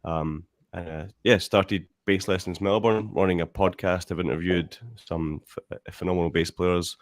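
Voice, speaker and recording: Man from Scotland, deep voice, friendly informal self-intro. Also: steady tone, normal speed, dry recording, clean studio sound. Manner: friendly, informal, many "yeah" and "um". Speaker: bass teacher and podcaster. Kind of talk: self-introduction on a live stream.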